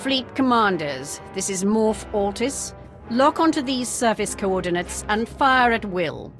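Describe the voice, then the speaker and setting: A woman plays a stern imperial commander in a video game. She sounds formal, haughty and commanding, with music playing clearly behind her. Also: mid-range voice, animated, a little slow, lightly acted. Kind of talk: scripted video game acting.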